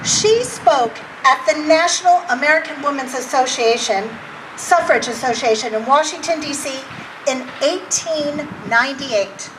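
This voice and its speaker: A woman, a playwright, giving an animated public speech outdoors at a march, with a loud, carrying voice. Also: high-pitched, unhurried. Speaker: playwright and director speaking at a rally. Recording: distant mic with loud outdoor noise.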